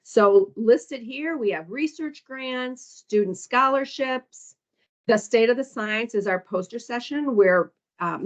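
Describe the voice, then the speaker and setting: Woman presenter, mid-range voice, halting delivery with frequent fillers, reading through program lists over a muffled lo-fi call line. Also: medium pitch. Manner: hesitant, frequent ums, reads down lists. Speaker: foundation staff presenter. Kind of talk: orientation call presentation walking through slides. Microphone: conference call audio. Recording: dull lo-fi call recording.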